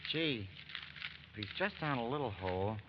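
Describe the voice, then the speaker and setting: An actor playing an eager, curious young American soldier in excitable, folksy 1940s radio drama style. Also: male voice, mid-range voice, normal speed, lightly acted. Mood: boyish excitement. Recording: muffled, noisy vintage radio broadcast recording.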